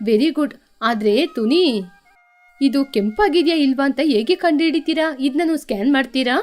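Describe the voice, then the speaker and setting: A fussy, stylish lady pigeon from a cartoon, played by a woman. Her voice is very high and affected, with music behind it. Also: a little fast, a little mellow, lightly acted. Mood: fussy, surprised. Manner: affected, sprinkles in English exclamations. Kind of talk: children's cartoon dubbing.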